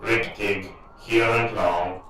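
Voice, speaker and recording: A dutiful robot manservant, speaks stiffly with a German accent. The voice is low and very slow, halting like machinery. Faint noise is behind it. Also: male voice, fairly even intonation, pushed and bright.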